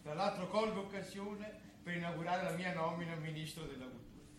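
A man's voice: sits high, brisk, natural voice. Man playing a pompous minister, very echoey stage. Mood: proud, official. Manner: pompous, official speechifying. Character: self-satisfied pompous government minister of culture. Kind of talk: theatrical satire of a political interview. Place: theatre stage, live performance. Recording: echoey theatre stage, noisy room ambience.

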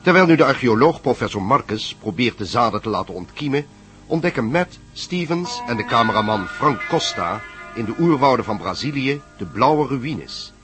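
A man's voice: medium pitch, animated, normal speed. Man narrating an old style radio play recap, mid-range voice, music behind. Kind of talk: scripted radio serial narration.

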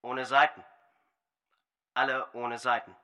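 A man's voice: medium pitch, level tone, slow, sharp tone. A man acting a patient, careful bandmate who is producing a studio session in a German radio play. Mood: patient, uneasy.

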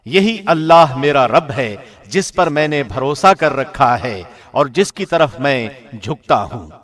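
A man reading a scripture translation as a formal scripted narration, in a measured, solemn manner. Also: medium pitch, animated, a bit bright.

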